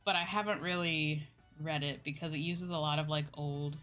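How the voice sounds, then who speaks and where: A woman's voice: sits low, fairly even intonation, moderate pace, fairly bright tone, soft background music. A young woman streamer chatting casually with viewers in a hesitant, rambling way, often repeating her phrases. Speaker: VTuber streamer. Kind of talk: casual livestream chat with viewers.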